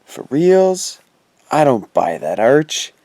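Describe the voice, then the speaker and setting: A man's voice: medium pitch, sing-song, slow, mellow, no room sound. An actor playing a wry, teasing teenage boy ghost in an audio drama, with slangy, needling delivery. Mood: skeptical teasing. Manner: slangy, laid-back, needling.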